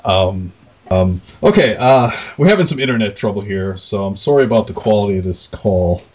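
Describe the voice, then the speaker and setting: A man with a low, rough-edged husky voice hosts a casual interview. He rambles tentatively and circles back on his words, and the internet call sounds dull and lo-fi. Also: expressive, normal speed, darkish tone. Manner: rambling, tentative, repeats himself. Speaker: podcast host interviewer.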